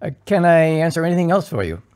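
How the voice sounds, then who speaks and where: A man acting as an earnest parish priest in an audio drama. His voice sits a little high for a man, and he counsels calmly, in measured, pastoral, instructive phrases. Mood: earnest, encouraging.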